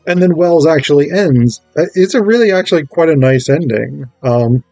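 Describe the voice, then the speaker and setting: A man's voice: fairly high pitch, animated, moderate pace, mellow, no room sound, soft background music. A man giving a casual spoken review, enthusiastic and digressive, often thinking aloud as he goes. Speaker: amateur stage actor reviewing a play script.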